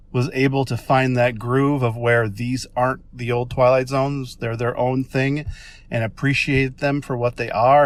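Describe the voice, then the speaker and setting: An American man leaving a casual voice message, talking in an enthusiastic, informal and rambling way. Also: medium pitch. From Minnesota, United States.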